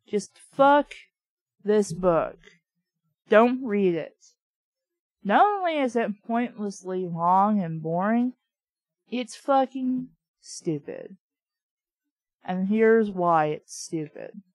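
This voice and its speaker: A woman's voice: mid-range voice, expressive, extremely slow, pauses often, darkish tone, dry recording. A woman reading a story aloud with sweary, sarcastic, exasperated commentary of her own. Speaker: reader and reviewer of children's books. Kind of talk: audiobook-style reading with sardonic ad-lib commentary.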